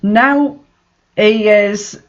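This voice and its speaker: A man with a notably high voice hosts a reading of bad fiction. He delivers it theatrically and comments with exasperated, mocking disbelief. The recording is dull and lo-fi. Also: very slow. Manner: mocking, exasperated, sweary asides. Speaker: host reading bad fan fiction aloud. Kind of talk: dramatic reading with amused, incredulous commentary.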